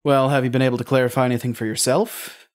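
An actor playing a cool, probing interrogator in an audio drama, speaking in a dry, deliberate, mocking way. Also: male voice, medium pitch, little pitch movement, close to an everyday voice. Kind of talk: audio drama acting, naturalistic.

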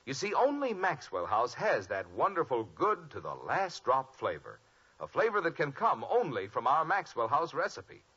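Husky, animated American radio pitchman. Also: moderate pace. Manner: emphatic, persuasive. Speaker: announcer reading sponsor advertisement. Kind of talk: 1950s radio commercial delivery. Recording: dull vintage radio recording.

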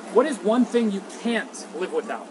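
A man reading out short quick-fire questions as an interviewer. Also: high voice, expressive. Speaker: interviewer, host. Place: outdoor city walkway. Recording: echoey, noisy outdoor ambience.

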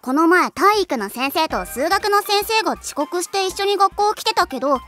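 A breezy, cheerful high school girl from an anime. Her voice is very high and bright, bouncing playfully through each line. Light music plays behind her. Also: lively intonation, moderate pace, heavily acted. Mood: insistent, playful. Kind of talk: Japanese anime voice acting.

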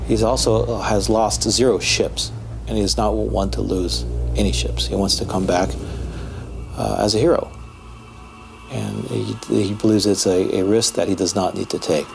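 A man in his forties explaining history in a documentary interview, speaking haltingly as an expert. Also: lowish voice, steady tone, creaky, a little mellow, slight background noise. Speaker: history professor, expert commentator. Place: indoor room, seated interview. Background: music.

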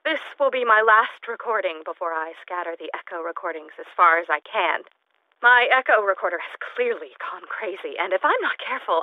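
A woman's voice: fairly low pitch, gravelly, no room sound, phone-quality sound. Unhinged female scientist, video game audio log, formal precise diction, erratic swings, lonely, dry close sound. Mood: uneasy, resolved. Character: eccentric, unhinged, lonely woman scientist keeping audio logs.

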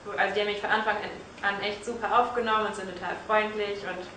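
A young woman speaks eagerly and cheerfully about her stay abroad, her voice bright with enthusiasm. She is recorded from a distance in a big indoor lobby, which adds a roomy echo and a faint background hiss. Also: mid-range voice, flat delivery, fast. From northern Germany. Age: young adult. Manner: cheerful, eager, laughs often. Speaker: language school student. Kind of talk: casual testimonial chat. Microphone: far camera. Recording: echoey room, distant mic, faint hiss.

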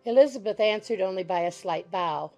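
A woman narrating a classic novel as an audiobook in an even, composed storytelling manner. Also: mid-range voice, a little fast, faint music behind.

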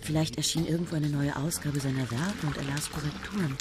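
An actress in a radio play playing a young woman student who speaks softly and dreamily. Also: low voice, steady tone, brisk, over music, close to an everyday voice. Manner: soft and hushed, dreamy. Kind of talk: radio play acting and narration.

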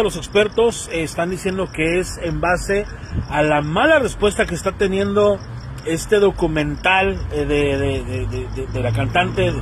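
A man's voice: high voice, a little hiss or hum, rough recording. A man in his thirties with a Mexican Spanish accent, giving a casual, slangy commentary rant to his phone camera outdoors and acting out the people he quotes. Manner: animated, slangy, acts out quoted voices. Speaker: vlogger. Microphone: phone selfie camera.

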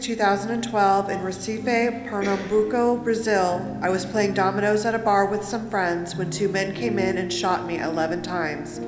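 A woman reading aloud solemnly at a lectern as a memorial volunteer. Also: mid-range voice. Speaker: volunteer reader. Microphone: lectern microphone. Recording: echoey church, guitar music behind.

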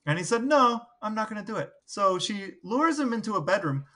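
A man's voice: high-pitched, very animated, a little fast, bright, forceful tone. A man co-hosting a podcast, telling anecdotes in a casual, wry, chatty way. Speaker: podcast co-host. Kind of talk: casual storytelling chat.

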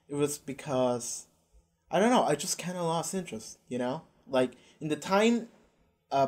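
A man's voice: on the high side, a little mellow. A young man from Argentina with an Argentinian accent, rambling casually and swearing as he reacts to a show, recorded on a headset in a small bedroom. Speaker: YouTube reactor.

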